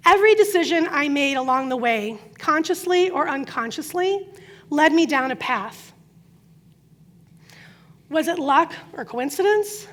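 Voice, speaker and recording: A middle-aged woman whose first language is Croatian gives a prepared inspirational talk, telling her story earnestly with emphatic pauses. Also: high-pitched, lively intonation. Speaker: speaker.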